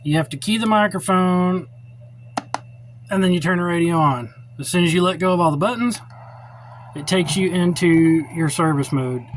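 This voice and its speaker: A middle-aged man giving an informal, unscripted how-to demonstration in a blunt, opinionated, plainspoken way. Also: high-pitched. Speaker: radio hobbyist giving a tutorial. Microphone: cell phone held or set up while filming. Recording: noisy cell phone recording.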